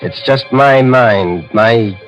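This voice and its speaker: An actor playing a panicked, guilt-haunted murderer in an old radio drama, stammering and muttering to himself. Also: male voice, mid-range voice, takes it slowly, lightly acted. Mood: frightened, muttering. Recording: muffled vintage radio recording with music.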